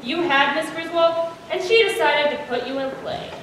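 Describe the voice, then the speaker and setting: Teenage female narrator for comic readers theater, high voice, projected and theatrical, recorded in a very echoey room. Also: moderate pace, a bit bright. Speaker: student performer, narrator. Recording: echoey room, distant mic, faint noise.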